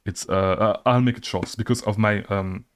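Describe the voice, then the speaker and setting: A man's voice: fairly low pitch, fairly even intonation, moderate pace. A young man from Belgium telling his own business story to camera in a casual, rambling way, often correcting himself and checking in with "okay?". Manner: rambling, self-correcting, repeats "super hard" and "okay?". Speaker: online seller and channel host.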